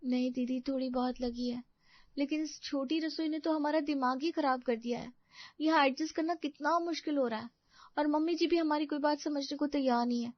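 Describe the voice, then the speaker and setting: A woman's voice: sits high, fairly quick, no echo, natural voice. A woman playing a young, exasperated daughter-in-law in a family story, whiny and emphatic, in a slightly dull recording. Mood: complaining.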